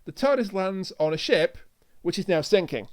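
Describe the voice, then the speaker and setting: A man with a high-pitched, very lively voice co-hosts a casual review show. His tone is smooth and clear, and his delivery is conversational. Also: normal speed, no echo. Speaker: podcast co-host.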